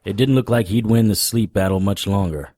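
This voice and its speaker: An actor playing a wry, world-weary male paranormal investigator, narrating a first-person noir audio drama in a deadpan manner. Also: fairly low pitch, fairly quick, dry recording, clean studio sound. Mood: wry, matter-of-fact.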